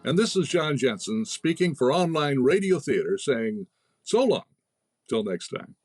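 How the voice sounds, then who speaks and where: A man's voice: medium pitch, very animated, a little slow, darkish tone, breathy edge. A man announcing scripted closing credits in an old-time radio style. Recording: music under the voice. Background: music.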